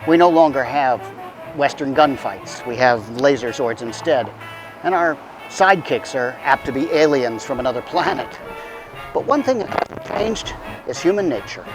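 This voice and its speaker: A man's voice: medium pitch, animated, normal speed, music in the background, low-quality recording. A man hosting a radio show, giving a scripted introduction. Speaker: host.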